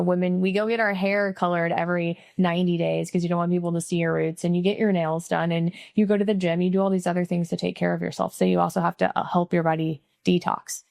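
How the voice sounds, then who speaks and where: Low gravelly-voiced woman hosting a podcast. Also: darkish tone, no room sound, clean studio sound. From Missouri, USA. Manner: chatty, frequent "like" and "right?" tags. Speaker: podcast host. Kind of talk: casual interview chat and closing sign-off.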